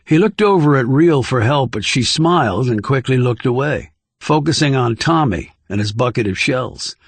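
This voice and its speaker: A man's voice: medium pitch, animated, moderate pace, breathy edge, no echo. A man reading scripted thriller narration as an audiobook narrator.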